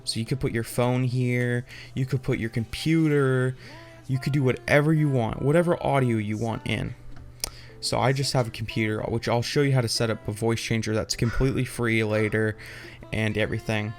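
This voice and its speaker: A man's voice: mid-range voice, fairly even intonation, moderate pace, faint music behind. A young adult man giving an informal, off-the-cuff tech tutorial, explaining loosely and rambling as he goes. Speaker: tutorial host.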